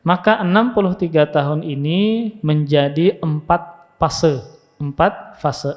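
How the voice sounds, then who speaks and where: Male Islamic lecturer, fairly high voice, instructive and repetitive for emphasis, muffled long-reverb hall sound. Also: slow. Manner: didactic, repeats key phrases for emphasis. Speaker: Islamic preacher and teacher. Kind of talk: religious lecture with Arabic quotations.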